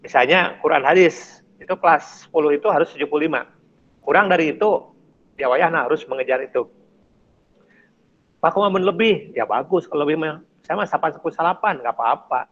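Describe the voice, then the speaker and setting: A middle-aged man, a teacher with a West Java Indonesian accent, explaining things informally and haltingly to students on a video call. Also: on the high side, fairly bright tone. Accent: Sundanese-region Indonesian. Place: small indoor office or classroom, on video call. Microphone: headset on video call. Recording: dull, lo-fi video-call audio.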